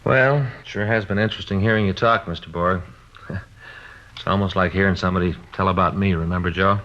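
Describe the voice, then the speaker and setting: Muffled old radio recording of a middle-aged American police detective in a radio play. His voice is low but sharp and forceful. He is folksy, chatty and sure he knows best, pressing his advice on the listener. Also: steady tone, brisk. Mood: chatty, confiding. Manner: folksy, insistent, know-it-all. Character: cocksure, chatty married male police detective giving advice. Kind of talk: 1950s radio drama acting. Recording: dull, band-limited old radio recording.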